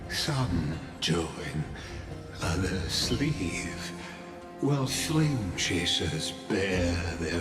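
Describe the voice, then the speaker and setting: Very slow, deep, cryptic game narrator. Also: male voice, roomy sound, heavily acted. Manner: measured, portentous. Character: solemn, cryptic male narrator guiding towards fate's final act.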